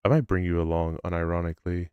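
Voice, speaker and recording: A man with a low voice chatting casually on a live stream, rambling and self-deprecating. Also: flat delivery, quick pace, smooth, dry recording, muffled recording. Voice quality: low-pitched voice. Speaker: livestreamer. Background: background music.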